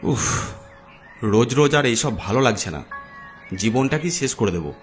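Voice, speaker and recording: Man acting a meek, miserable gentleman over music. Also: mid-range voice, animated, normal speed, lightly acted. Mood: despairing, brooding. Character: miserable, timid, henpecked jobless Bengali gentleman. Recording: background music under voice.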